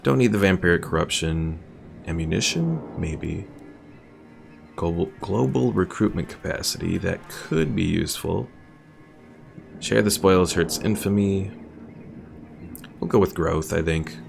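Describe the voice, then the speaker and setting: A man's voice: deep voice, lively intonation, unhurried, creaky, long pauses, music in the background. A man giving casual gameplay commentary as a streamer, musing and thinking aloud as he goes. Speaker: game streamer.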